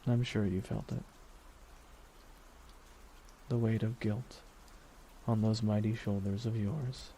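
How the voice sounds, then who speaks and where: A man playing a grieving, vengeful supervillain in an ASMR roleplay monologue, weary and softly menacing, brooding with deliberate pauses. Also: on the low side, rough recording. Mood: bitter, accusing. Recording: steady noise under voice, rain ambience.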